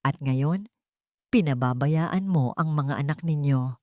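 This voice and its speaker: Cold, bitter society aunt, played by a female narrator, low-pitched, commanding and blunt, for audiobook drama. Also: takes it slowly, darkish tone, dry recording, studio quality. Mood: bitter, accusing. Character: cold, bitter, vengeful aunt, wealthy woman.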